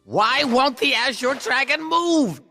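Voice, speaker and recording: An actor playing a petulant, tyrannical king in a video game, shrill, imperious and impatient. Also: expressive, takes it slowly, vocal fry, bright, forceful tone, faint music behind, heavily acted. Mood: impatient, frustrated. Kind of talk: dramatic video game acting. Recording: long hall reverb.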